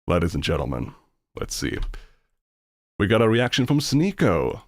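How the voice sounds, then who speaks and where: Deep-voiced Finnish man, blunt and rambling. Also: huge pitch swings, dry recording, studio quality. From Finland. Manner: rambling, halting with many fillers, blunt and profane. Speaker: streamer reacting to videos. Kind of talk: casual reaction commentary.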